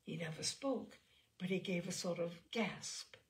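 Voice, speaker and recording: A woman's voice: lowish voice, measured pace, creaky. A woman performing a tormented, bitter wife making a confession in a dramatic, intense storytelling manner. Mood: dazed. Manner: dramatic, intense, hushed then rising to cries.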